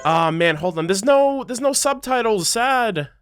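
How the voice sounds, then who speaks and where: A man's voice: high voice, lively intonation, a little slow, a bit bright, clear, resonant tone, soft background music. A man hosting a reaction video, giving casual, slangy commentary full of exclamations.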